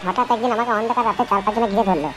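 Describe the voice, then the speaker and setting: Bossy cartoon ghost gangster done by a woman, talks super fast, gruff and scolding, music under it. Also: on the high side, lightly acted. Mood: grim. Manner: gruff, scolding, coarse slang. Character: bossy, scolding half-bodied ghost of a former thug. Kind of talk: comic cartoon dialogue.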